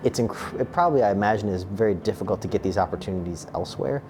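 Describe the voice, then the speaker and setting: Fast, flat, deep-voiced resident. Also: male voice. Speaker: medical resident. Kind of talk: interview testimony. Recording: noisy ambience behind.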